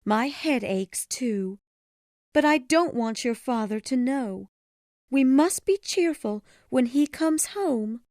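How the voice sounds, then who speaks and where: A woman voicing a gentle, careworn mother who speaks softly and tenderly while putting on brave cheer in a storybook reading. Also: high voice, animated, slow pace, dry recording, clean studio sound, pitched above the performer's own voice, heavily acted. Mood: weary, tender.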